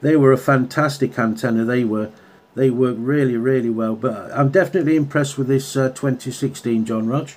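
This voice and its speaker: A man's voice: medium pitch, a little fast, darkish tone. Chatty man on the radio. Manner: chatty, uses radio jargon. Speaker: radio hobbyist and video maker. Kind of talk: casual CB radio contact chat. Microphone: handheld radio microphone.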